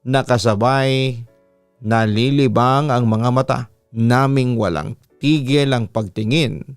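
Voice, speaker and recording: A man with a Filipino accent narrating a scripted first-person story in a measured, dramatic radio style, drawing out the ends of phrases. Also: medium pitch, clean tone, no echo, studio quality. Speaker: radio announcer hosting a horror story show, reading listeners' letters. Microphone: close studio microphone. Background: soft background music.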